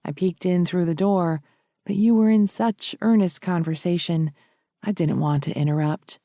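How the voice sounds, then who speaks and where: Woman voicing a caring, mellow-voiced gentleman doctor. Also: on the low side, moderate pace, no room sound, studio quality, natural voice. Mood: sympathetic. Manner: solicitous, gentle. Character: caring, earnest male family doctor, old friend.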